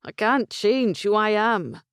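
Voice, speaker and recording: A woman voicing a brooding Highland warrior, speaking in a grave, hushed way. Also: lively intonation, slow, clean tone, no echo, clean studio sound, full character voice. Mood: sorrowful.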